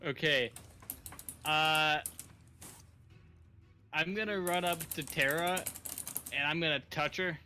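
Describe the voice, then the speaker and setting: A man's voice: fairly high pitch, takes it slowly, pauses often, pushed and bright, faint music behind. A man chatting casually as a player in a tabletop role-playing game.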